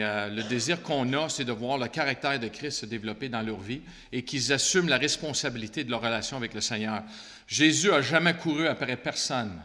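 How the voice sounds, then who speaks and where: A man's voice: medium pitch, pushed and bright, big-room sound. A man with a Québécois French accent, speaking earnestly and conversationally as a Bible school leader answering questions at a church gathering. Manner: earnest, conversational, with frequent fillers.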